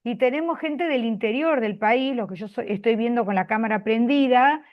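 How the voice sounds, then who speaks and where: Host type, Argentine woman, fairly high voice, chatty with lots of fillers, muffled video-call sound. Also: normal speed. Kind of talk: video call closing remarks. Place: public office.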